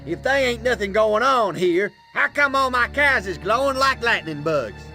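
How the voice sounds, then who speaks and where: Old rural American farmer, high sharp voice, crotchety, folksy, indignant, comic video game. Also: male voice, expressive, normal speed, faint music behind, heavily acted.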